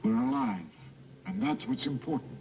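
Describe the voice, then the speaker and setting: An actor playing an earnest, measured astronaut in a dubbed old science fiction film. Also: male voice, mid-range voice, huge pitch swings, vocal fry, faint music behind. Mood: earnest, subdued. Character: earnest male astronaut on a Mars mission. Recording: echoey, muffled old film soundtrack.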